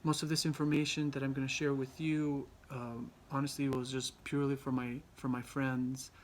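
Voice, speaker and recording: A young man from Albania giving a class presentation, halting often with ums and restarts. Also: medium pitch, flat delivery, slight background noise. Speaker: student presenter.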